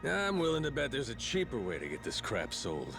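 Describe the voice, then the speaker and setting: An actor playing a cocky, irreverent pirate captain in a video game, with a slangy, mocking, street-tough manner. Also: male voice, mid-range voice, huge pitch swings, brisk, vocal fry. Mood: defiant. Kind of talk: dramatic video game voice acting. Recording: game music behind.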